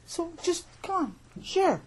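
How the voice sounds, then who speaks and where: A man voicing a boy who quietly coaxes and reasons with his brother, hesitant and exasperated. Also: very high voice, big pitch swings, slow, pitched above the performer's own voice, full character voice. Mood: pleading.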